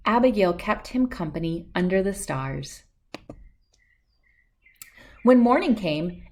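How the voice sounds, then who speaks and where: A woman reading a children's picture book aloud in an expressive storytelling style. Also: mid-range voice.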